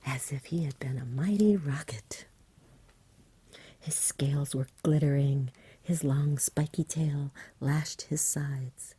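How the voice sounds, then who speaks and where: A woman reading a story in a hushed, soothing ASMR whisper, close to the microphone. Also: low voice, takes it slowly. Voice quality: breathy whisper. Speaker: ASMR reader.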